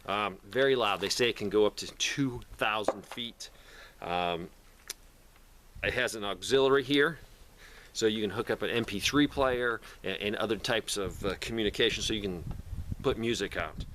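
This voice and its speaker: A man's voice: fairly low pitch, moderate pace, fairly bright tone, slight background noise. A man talking casually to camera in a plainspoken, rambling way, like a video host showing his gear. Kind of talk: casual product talk to camera.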